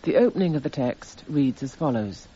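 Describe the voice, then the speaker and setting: Radio play narrator: male, breathy-rough tone, factual, formal delivery, slightly muffled. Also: medium pitch, animated, normal speed, mellow. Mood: detached. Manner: formal, itemising, detached. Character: matter-of-fact male documentary narrator reading evidence. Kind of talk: scripted radio drama narration.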